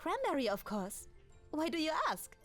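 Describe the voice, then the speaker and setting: A woman playing a kind, clumsy, centuries-old dragoness baker in an ASMR roleplay, with a German accent and gentle, apologetic, formal, halting speech. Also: sits high, big pitch swings. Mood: cheerful instruction. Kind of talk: ASMR roleplay, in-character monologue to the listener. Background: music.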